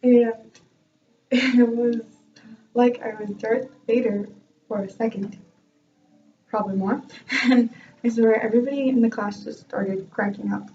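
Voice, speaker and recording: A young woman tells a story in a chatty, self-mocking way and laughs easily. Her voice sits fairly high and sounds mellow. The recording is dull and lo-fi, and she sounds a little far from the mic. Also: fairly even intonation, measured pace, pauses often. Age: young adult. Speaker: vlogger and music student. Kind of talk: casual storytelling vlog.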